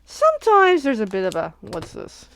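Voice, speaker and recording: A woman's voice: a little high, wildly swooping pitch, normal speed, a bit bright. A sniffly woman hosting a casual crafting livestream, chatting and thinking aloud as she unboxes and works at home.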